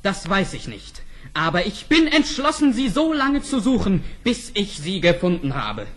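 Devoted young storybook prince, animated, high and impassioned, radio-play declamation. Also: male voice, normal speed, exaggerated voice. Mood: anguished, resolute. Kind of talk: vintage fairy-tale radio play acting.

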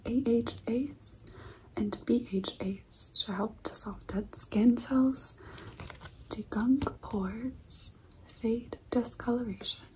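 A woman in her twenties whispering in a soft, breathy, unhurried ASMR style, close to the mic in a living room. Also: a little high, fairly even intonation, a little hiss or hum, low-quality recording. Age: 20s. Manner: soft, unhurried, repeats words slowly. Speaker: ASMR video creator.

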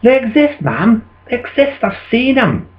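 Man putting on an eager, high-voiced farm lad. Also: very animated, unhurried, echoey room, muffled recording, exaggerated voice. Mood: insistent. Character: farmer's eager young son, insisting dragons exist.

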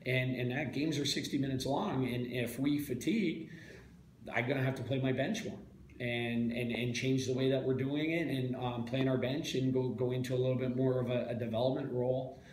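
A middle-aged man, a head coach, giving a halting postgame interview full of restarts and fillers. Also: mid-range voice, steady tone, a little mellow. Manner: halting, many repeated words and fillers. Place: small press room, indoors. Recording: echoey room, faint background hiss.

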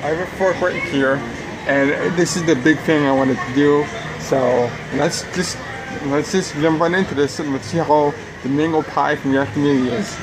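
Higher-voiced man vlogging in outdoor noise. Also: steady tone, normal speed. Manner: enthusiastic, talking between bites. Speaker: food review vlogger. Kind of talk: casual food review to camera. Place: outdoors at a theme park table. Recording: noisy outdoor recording with background hum.

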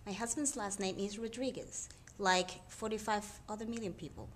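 A woman's voice: medium pitch, moderate pace, very noisy. A woman from Peru, a guest mom chatting casually and with animation in a gallery shop. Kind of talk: casual chat.

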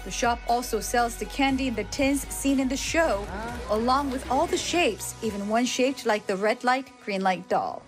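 A woman reporter narrating a scripted news story in a measured way. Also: fairly high pitch, music in the background.

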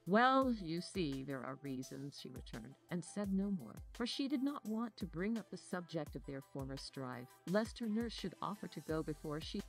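Lowish synthetic female audiobook narrator. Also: expressive, moderate pace, hardly any pauses, faint music behind, rough recording.

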